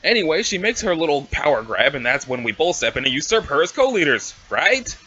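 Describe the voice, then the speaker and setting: A man acts out a brash female alien schemer in a comic drama reading. The voice is fairly high and animated, with cocky, sarcastic needling. It is recorded a little dully, like a home setup. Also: a little fast, husky, highs cut off, full character voice. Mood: bossy, scheming. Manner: snarky, bossy, casual needling.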